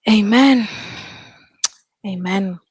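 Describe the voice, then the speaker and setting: A woman's voice: medium pitch, very slow, darkish tone, husky, no echo, studio quality. A middle-aged woman with a Zimbabwean accent hosting a casual live talk show, speaking hesitantly and repeating words for emphasis. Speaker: host.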